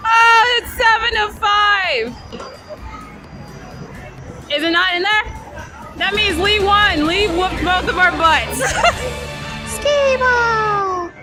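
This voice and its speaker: Casting: woman, very high voice, excitable casual game-side coaching, loud arcade crowd around her. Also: extremely slow, long pauses. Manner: excitable, coaching friends along. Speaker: vlogger. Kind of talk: casual chat while playing arcade games. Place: busy arcade. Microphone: handheld phone. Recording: loud music and crowd noise behind. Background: loud music, crowd, arcade bustle.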